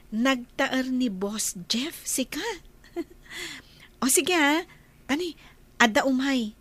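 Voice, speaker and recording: A woman acting a gentle, forgiving young female employee in a radio drama. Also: sits high, expressive, very slow, pauses often, husky, heavily acted. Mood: friendly, chatty.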